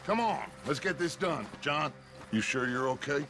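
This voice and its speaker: An actor playing a commanding middle-aged American gang leader in a Western game, speaking with grandiose, emphatic authority. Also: male voice, a little high, expressive, moderate pace. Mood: grim, controlled. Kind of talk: dramatic Western video game acting. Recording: loud music behind.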